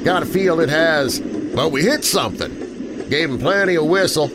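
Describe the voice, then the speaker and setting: Rough, jittery train engineer in a storybook, played by a man, with a raised pitch. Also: moderate pace, over music, close to an everyday voice. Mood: excited. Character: excited male train engineer, older working man.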